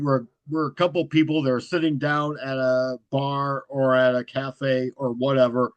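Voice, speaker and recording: A man as a guest in a casual interview. He speaks in a self-described monotone, even manner. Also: medium pitch, no room sound, rough recording. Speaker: guest, horror content creator.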